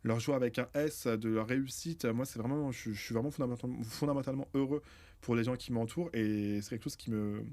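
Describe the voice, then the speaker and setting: A young man talks fast and easily, his voice sitting at an ordinary middle pitch. He chats casually as a podcast guest, stopping and restarting, padding with fillers and now and then breaking into high laughter. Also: darkish tone. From France. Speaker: podcast guest, book content creator.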